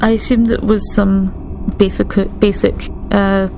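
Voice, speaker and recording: Slow, creaky-voiced woman at a tabletop game. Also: medium pitch, level tone, noisy background. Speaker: tabletop role-playing game player. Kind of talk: casual game chat. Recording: music behind the voice.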